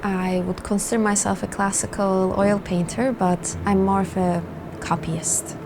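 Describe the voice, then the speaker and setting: A woman's voice: medium pitch, level tone, measured pace, background noise. A woman in her twenties, from Turkey, speaking candidly and thoughtfully about her life as a documentary interviewee. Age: 20s. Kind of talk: reflective personal interview. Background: soft music.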